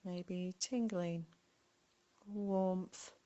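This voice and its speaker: Female meditation guide: slightly low register, very slow delivery, gentle, with spacious pauses. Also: steady tone, highs cut off. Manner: gentle, unhurried, with long pauses between short phrases. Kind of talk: guided body scan meditation.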